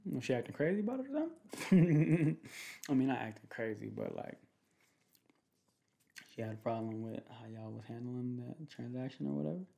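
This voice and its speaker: Young guy doing asmr boyfriend chat, relaxed n mellow, lots of vocal fry, slangy, repeats himself. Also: medium pitch. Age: young adult. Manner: slangy, laid-back, halting with repeated phrases. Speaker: ASMR creator playing a protective boyfriend. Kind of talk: ASMR boyfriend roleplay, casual one-sided chat. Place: small home room, indoors.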